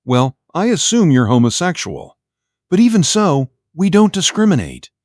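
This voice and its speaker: A man acting a condescending university interviewer, swooping pitch. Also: sing-song, a little mellow, dry recording, studio quality. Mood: condescending, frank. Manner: formal, condescending, deliberate. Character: pompous, prejudiced middle-aged male university job interviewer. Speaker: university official interviewing a job applicant. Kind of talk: audio play acting.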